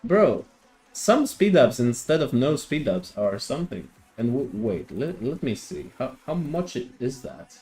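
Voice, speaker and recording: A man's voice: mid-range voice, sing-song, measured pace, darkish tone, faint music behind. A man from Greece streaming a strategy game, chatting casually with viewers and often repeating his words as he thinks. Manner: hesitant, repeats words, answers chat. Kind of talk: casual live-stream chat.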